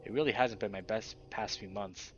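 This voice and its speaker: A man's voice: on the low side, moderate pace, husky, dull audio. A man reading first-person visual novel narration in a dramatic, scripted storytelling style.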